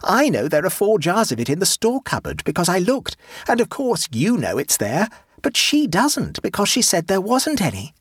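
A man's voice: on the high side, big pitch swings, moderate pace, husky, no room sound, studio quality, voice pushed up, full character voice. A man voicing a sly, clever young boy who speaks with mock innocence. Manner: innocent but sly, gleeful.

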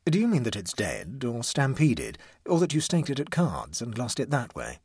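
A man's voice: mid-range voice, huge pitch swings, normal speed, a little mellow, husky, no echo, studio quality, pitched above the performer's own voice, exaggerated voice. A man voicing an idle, flippant young house guest in a storybook, lazily and drily mocking. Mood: lazy flippancy.

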